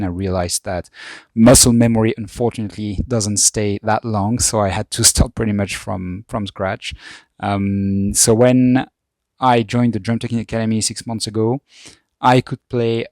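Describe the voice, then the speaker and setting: Man, thirties, slightly low voice, dry close sound, hesitant testimonial. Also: a little mellow, no echo. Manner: hesitant, many ums and restarts. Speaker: former student giving feedback. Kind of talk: casual testimonial.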